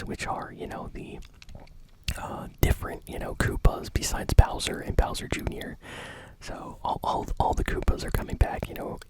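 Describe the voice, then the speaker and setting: Whispering woman, ASMR gaming news host. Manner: enthusiastic, conversational, unscripted.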